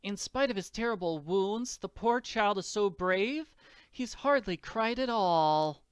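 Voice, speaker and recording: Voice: medium pitch, expressive, a little slow, clean tone, dry recording, clean studio sound, pitched above the performer's own voice, close to an everyday voice. A performer voicing a haughty, melodramatic wife from a comic strip, nagging in indignant, overwrought exclamations. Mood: doting. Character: shrill, scornful rich wife, nagging and melodramatic.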